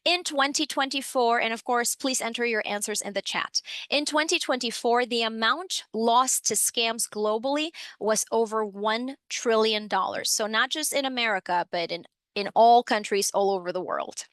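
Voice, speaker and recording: A woman's voice: a little high, normal speed, few pauses, fairly bright tone, no room sound. A woman from the Dominican Republic presenting an educational webinar in a friendly, upbeat way, with frequent fillers, on a computer microphone. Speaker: webinar presenter, program supervisor.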